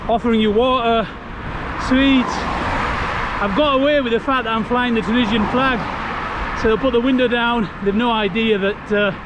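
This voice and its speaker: A man's voice: very high voice, steady tone, normal speed. A man from Europe chatting upbeat to camera while cycling a coastal road, thinking aloud with fillers, recorded on a bike-mounted camera. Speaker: touring cyclist vlogger. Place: outdoors on a coastal road with traffic. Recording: loud road and wind noise. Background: passing cars and traffic.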